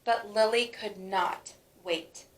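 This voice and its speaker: A woman reading a children's storybook aloud with expressive, emphatic phrasing for young listeners. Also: mid-range voice, slow pace, echoey room. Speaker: teacher reading a picture book aloud.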